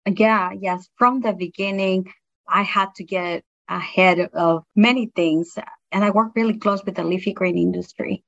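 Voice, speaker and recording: A woman's voice: mid-range voice, steady tone, moderate pace, gravelly, a bit bright, dull audio. A middle-aged woman with a non-native English accent, speaking earnestly and appreciatively as a guest in a reflective interview. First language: not English. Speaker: interview guest, produce industry science manager.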